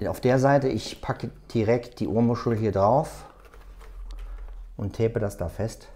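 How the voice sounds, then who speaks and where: A man with a soft, dark-toned voice talks through a practical task as he does it. He explains each step without a script, in a halting way, as the host of a tutorial. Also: medium pitch. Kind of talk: hands-on tutorial, talking while working.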